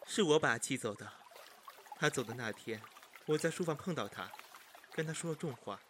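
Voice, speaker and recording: A man playing a haughty, jealous young gentleman of ancient China in a period audio drama, with mocking, theatrical emphasis. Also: mid-range voice, animated, normal speed, slight background noise, close to an everyday voice. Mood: hurt, bitter.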